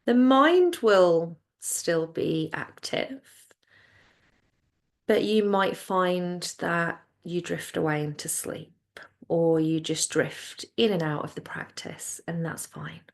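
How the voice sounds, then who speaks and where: Female yoga nidra guide, very slow and soothing, with some creak in the voice. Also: fairly low pitch. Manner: soothing, unhurried, gentle instructions. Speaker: yoga nidra teacher guiding a class. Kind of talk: guided relaxation meditation.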